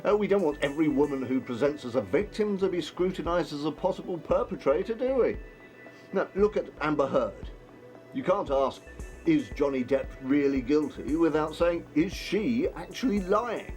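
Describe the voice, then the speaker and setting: Actor as a high, lively male radio host. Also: moderate pace, soft background music, lightly acted. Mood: challenging. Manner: pointed, challenging questioning. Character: male radio talk show host, sceptical, challenging interviewer. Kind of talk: dramatised radio interview.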